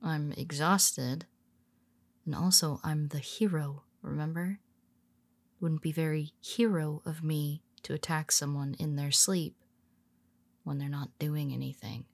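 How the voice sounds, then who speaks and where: A woman playing a weary, teasing female superhero who speaks softly to her nemesis, often dropping to a whisper. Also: deep voice, steady tone, unhurried, gravelly, no echo, full character voice. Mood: wry teasing. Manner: teasing, soft-spoken, slips into whispers. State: tired. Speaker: audio roleplay performer. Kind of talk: intimate audio roleplay.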